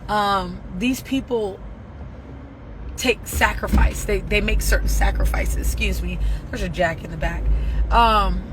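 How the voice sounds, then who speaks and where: A hoarse woman giving a casual, profane motivational message as a spiritual reader, often asking "right?". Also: medium pitch, unhurried. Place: at work, near traffic. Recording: clear traffic noise behind.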